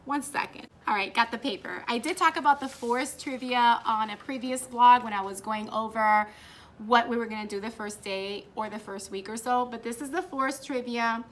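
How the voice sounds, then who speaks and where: An excitable young woman talks to a propped-up camera in her classroom, chatting about her school day. Her voice sits a little on the high side, and her manner is upbeat and chatty. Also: moderate pace. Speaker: fourth grade teacher and vlogger. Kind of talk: casual vlog chat. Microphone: camera propped nearby.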